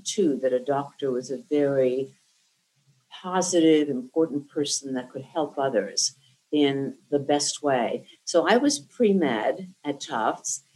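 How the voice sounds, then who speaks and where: A woman's voice: deep voice, darkish tone, rough recording. A woman in her sixties from New Jersey, whose first language was Lithuanian, tells her life story in an interview in an articulate and reflective way. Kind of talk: oral history interview.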